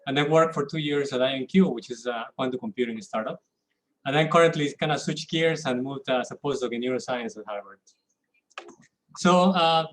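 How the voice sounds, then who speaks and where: A man's voice: a little high, poor audio. A man from Peru with a Peruvian Spanish accent, giving a casual online lecture with fillers. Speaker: guest lecturer. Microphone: video call.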